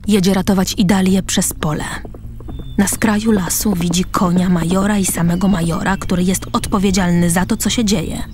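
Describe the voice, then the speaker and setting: A woman's voice: mid-range voice, fairly even intonation, moderate pace, a little mellow. Woman narrator over music. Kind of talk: scripted present-tense drama narration.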